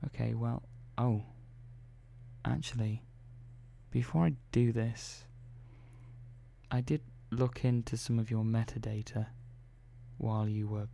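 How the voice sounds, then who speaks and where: A man playing a calm, methodical robot engineer in an ASMR roleplay, speaking softly and slowly with hesitant pauses. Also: fairly low pitch, creaky, slight background noise. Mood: confiding. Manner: soft-spoken, slow and hesitant, many pauses and "well"s.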